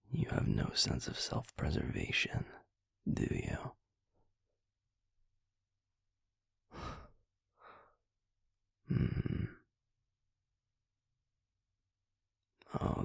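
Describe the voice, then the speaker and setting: A man performs a gruff young werewolf boyfriend for an intimate audio roleplay. His voice is extremely low and full of gravel, breathy to the edge of a whisper. He drawls slowly, with dry sarcasm and grudging affection. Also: no room sound, exaggerated voice. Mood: wry, teasing. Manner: slow teasing drawl with heavy sighs, dry sarcasm.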